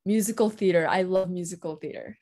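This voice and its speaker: Soft-spoken teenage girl on a video call. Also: on the low side, brisk. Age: teens. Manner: soft-spoken, hesitant with ums. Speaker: guest. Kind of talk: interview answers over video call. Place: indoors at home.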